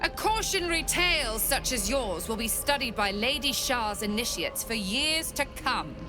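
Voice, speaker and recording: An actress playing a cold, imperious older high priestess of a cult in a video game, haughty, deliberate and menacing. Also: female voice, very animated, over music. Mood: gloating, menacing. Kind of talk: dramatic video game acting.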